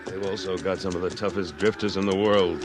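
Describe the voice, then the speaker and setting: A man's voice: low voice, steady tone, fairly quick, over loud music, lightly acted. An actor playing a tough, terse Civil War veteran cattleman in an American radio western. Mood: cautioning. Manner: terse, blunt. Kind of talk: 1950s radio western acting. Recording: noisy old radio broadcast.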